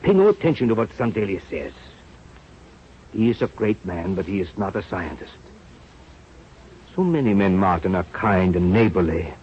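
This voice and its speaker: An actor playing an aging, earnest scientist mentor with a halting, solemn delivery in a radio drama. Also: male voice, low voice, big pitch swings. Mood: solemn, fatherly. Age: older. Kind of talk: 1930s radio drama acting. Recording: muffled, noisy old radio recording.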